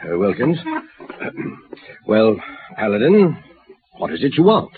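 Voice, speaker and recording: An actor playing a flustered, self-important doctor with hesitant, fussy speech in an old radio drama. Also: male voice, fairly low pitch, sing-song, measured pace, breathy edge, exaggerated voice. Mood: flustered, impatient. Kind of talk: 1950s western radio drama acting. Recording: old radio broadcast, narrow band, crowd behind.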